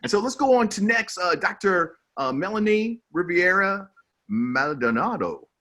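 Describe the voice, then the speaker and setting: A man's voice: high-pitched, big pitch swings, normal speed, fairly bright tone. A man moderating an online panel in an upbeat, effusive and encouraging way, speaking over a video call.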